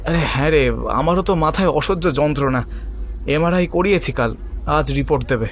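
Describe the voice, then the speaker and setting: Man acting high-voiced, scoffing young schemer. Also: fast talker, lightly acted. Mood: pained, dismissive. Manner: colloquial, questioning, scoffing. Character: young local man, scheming ringleader, puzzled and sarcastic. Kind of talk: audio horror story acting. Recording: faint music behind the voice. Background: faint music.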